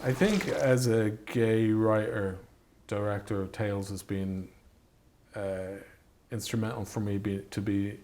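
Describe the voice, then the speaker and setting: A man speaking reflectively in an interview as a writer and director, halting and thoughtful, often repeating phrases as he goes. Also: lowish voice, steady tone, a little mellow, slight background noise.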